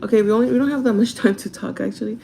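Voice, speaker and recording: Young woman chatting with a creaky voice. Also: on the high side, very fast, dark tone, a little hiss or hum. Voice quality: gravelly, groggy. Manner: informal, conversational, addresses viewers directly. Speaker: vlogger chatting while doing makeup. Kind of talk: casual chatty advice vlog.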